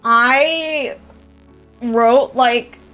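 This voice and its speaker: A young woman with a nasal voice talks in an unhurried, rambling way, full of ums and little laughs. Her voice sits a bit high, and soft music plays faintly behind her. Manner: chatty and rambling, with frequent fillers and laughs. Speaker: VTuber streamer and creator coach. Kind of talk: casual livestream chat.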